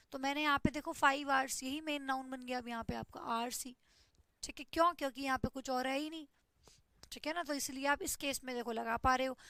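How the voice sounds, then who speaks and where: A woman's voice: high-pitched, bright, forceful tone, smooth, no room sound. A woman teaches an online English grammar class, explaining step by step and checking in with "ठीक है". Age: 20s.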